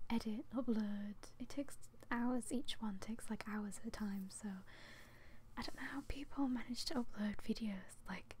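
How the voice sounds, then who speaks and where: Whispering young British woman, soft ASMR. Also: a little high, slight background noise. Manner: whispery, hushed, hesitant and apologetic. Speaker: ASMR video host. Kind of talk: soft-spoken ASMR, casual chat to viewers.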